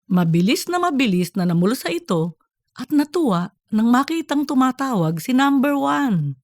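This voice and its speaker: A woman reading scripted comedic fiction as an audiobook narrator, in a lively storytelling way. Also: high-pitched, moderate pace, no room sound, clean studio sound.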